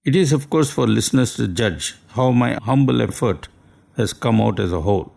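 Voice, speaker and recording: Gravelly man. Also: mid-range voice, lively intonation, a little mellow. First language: Tamil. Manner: formal, measured, courteous. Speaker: radio speaker. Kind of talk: scripted radio talk.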